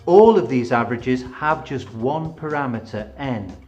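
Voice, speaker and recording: A man presenting an educational film in a scripted, measured, explanatory way with emphatic phrasing. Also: medium pitch, animated, a little mellow, lo-fi sound. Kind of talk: scripted instructional narration. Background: background music.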